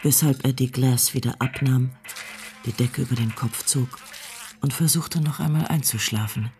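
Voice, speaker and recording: A man's voice: mid-range voice, steady tone, normal speed. Narrator for radio play: male, mid register, scripted storytelling, slight background noise.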